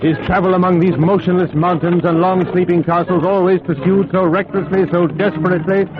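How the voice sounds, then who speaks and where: An actor playing an earnest, proper young English solicitor in an old-time radio drama, formal and dramatic. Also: male voice, high-pitched, little pitch movement, a little fast, close to an everyday voice. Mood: grave, troubled. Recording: dull vintage radio recording over loud music.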